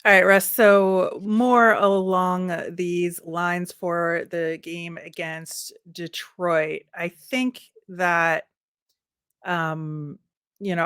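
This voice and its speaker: Lowish-voiced woman co-hosting a sports podcast. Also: fairly bright tone, smooth, no echo, clean studio sound. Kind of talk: casual hockey discussion.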